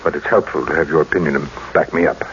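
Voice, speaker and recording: A man's voice: extremely low pitch, steady tone, fast talker, a bit bright. An actor playing a cold, suspicious middle-aged businessman in an old-time radio drama, with a brusque, commanding manner. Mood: probing, controlled. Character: cold, imperious, suspicious businessman husband, decisive. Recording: muffled, noisy old radio recording.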